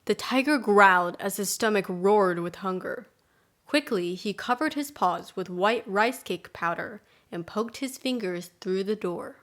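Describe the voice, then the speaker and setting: A woman's voice: mid-range voice, a little slow, fairly bright tone. A woman storyteller reading a scripted folktale narration.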